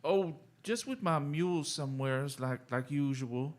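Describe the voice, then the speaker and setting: A man playing a meek, good-natured simpleton drifter in a Western radio drama, halting and folksy. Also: a little high, lightly acted. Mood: meek, friendly.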